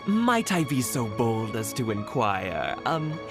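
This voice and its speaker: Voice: mid-range voice, sing-song, slow. A voice actor playing a polite, hesitant male shopkeeper in a game who speaks with deference. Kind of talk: game voice acting. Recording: studio voice over game music.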